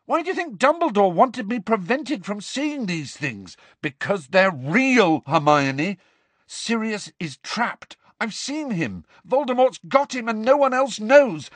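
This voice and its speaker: A man voicing a hot-tempered teenage boy in an audiobook, rough, urgent and defiant. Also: high-pitched, sing-song, measured pace, dry recording, pitched above the performer's own voice, exaggerated voice. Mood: furious. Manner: rough, aggressive, emphatic delivery. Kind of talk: audiobook character voice.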